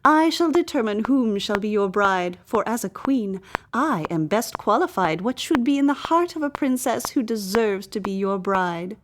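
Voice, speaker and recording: A grand, exacting queen mother acted by a woman speaks in stately, drawn-out phrases. She is imperious yet doting, in storybook fashion, with clear noise in the recording. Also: medium pitch, lively intonation, lightly acted.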